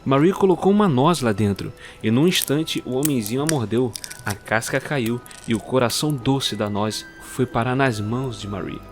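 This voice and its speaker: Man narrating a dark tale. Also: mid-range voice, faint music behind. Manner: measured, suspenseful, addresses the listener. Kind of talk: scripted storytelling narration.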